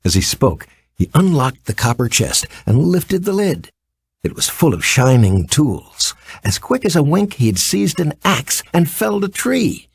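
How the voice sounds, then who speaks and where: A man reading a children's story as an expressive scripted narration. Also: a little high, measured pace, gravelly, husky, dry recording.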